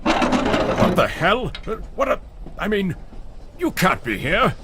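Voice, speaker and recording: A man's voice: high voice, sing-song, takes it slowly, heavy background noise, heavily acted. An actor playing a pompous, frightened, stammering man who bosses people about in an audio drama. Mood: startled, indignant. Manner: stammering, indignant. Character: flustered, bossy, frightened man, pompous and condescending.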